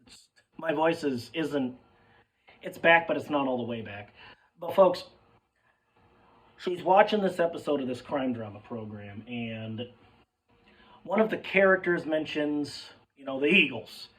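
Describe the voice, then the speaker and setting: A young man with a hoarse, cracking voice telling a rambling, sidetracked story in casual chat, swearing freely and calling his listeners folks. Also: fairly high pitch, expressive, a little slow, pauses often, fairly bright tone, distant mic, rough recording. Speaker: sports fan vlogger.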